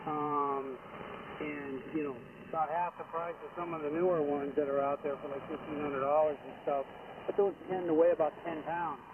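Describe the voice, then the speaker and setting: A man's voice: on the high side, fairly even intonation, normal speed. A man from the United States chatting casually over ham radio from outdoors, hesitant and rambling as he goes. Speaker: amateur radio operator calling in. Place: outdoors, front yard portable radio setup. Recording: distant, tinny radio link with loud static.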